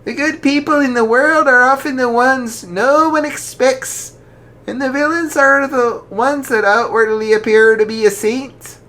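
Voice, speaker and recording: A storyteller voicing a tall, jolly older archbishop who speaks kindly and gently, pitched far above their own voice. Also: male voice, measured pace, full character voice.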